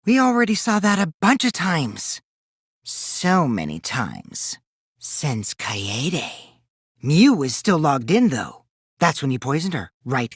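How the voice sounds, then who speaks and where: A man's voice: sits high, dry recording, clean studio sound, full character voice. A man voicing a mischievous, taunting teenage boy who lies with glee, in theatrical anime video game style. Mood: incredulous, needling, then cold, low. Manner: theatrical, swinging from gleeful mockery to cold remarks.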